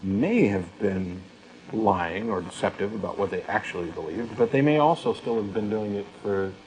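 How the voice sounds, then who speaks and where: Low-voiced man in earnest discussion, noisy recording. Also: normal speed, a little mellow. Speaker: co-host of a talk show. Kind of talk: conversational discussion, personal reflection. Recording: clear background noise, room ambience.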